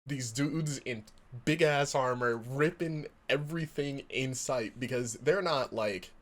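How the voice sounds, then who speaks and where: Co-host, man, mid pitch, laid-back and profane as he explains. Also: a little slow, fairly bright tone. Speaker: podcast co-host. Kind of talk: casual gaming podcast chat.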